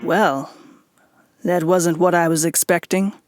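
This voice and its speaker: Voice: mid-range voice, fairly even intonation, slow pace, close to an everyday voice. An actor playing a wry, streetwise private detective and war veteran in a noir audio drama, with a folksy hardboiled way of talking. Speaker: lead character and narrator. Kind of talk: noir radio drama dialogue and narration. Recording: music under the voice. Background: background music.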